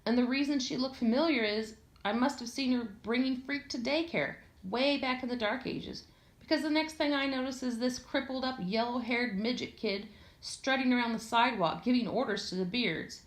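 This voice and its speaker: A woman reading a first-person story aloud in an expressive, conversational way with a sardonic, slangy delivery. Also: a little high, normal speed. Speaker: audiobook reader. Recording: echoey room.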